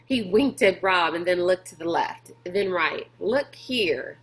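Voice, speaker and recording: Slow, deliberate woman reading a story aloud. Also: fairly low pitch. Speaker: teacher reading aloud to pupils. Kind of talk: storybook read-aloud narration.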